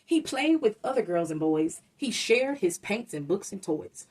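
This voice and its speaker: A woman's voice: medium pitch, very animated, measured pace, darkish tone, breathy edge, recorded from a distance. A woman reading a rhyming children's story aloud, expressively and with dramatic emphasis. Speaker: storybook reader.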